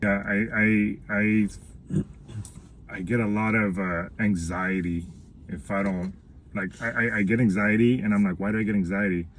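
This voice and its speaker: A man hosting a casual conversational interview, talking haltingly and repeating his words. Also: lowish voice, steady tone, slight background noise. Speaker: interviewer, host.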